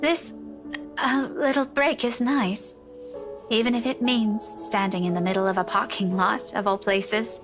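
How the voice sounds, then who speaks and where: An actress playing a resigned, frank young woman in a visual novel, speaking in a measured conversational way. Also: fairly high pitch, fairly even intonation, over music, close to an everyday voice. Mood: weary, resigned.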